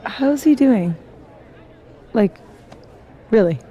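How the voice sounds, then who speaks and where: A woman's voice: on the high side, lively intonation, very slow, warm tone, some chatter behind. A woman playing a candid, teasing young woman in a video game. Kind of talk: video game dialogue acting.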